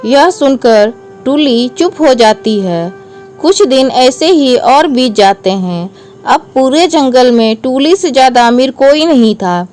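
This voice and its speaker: Woman narrator for a moral story, higher-toned, very measured pace. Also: animated, darkish tone, soft background music.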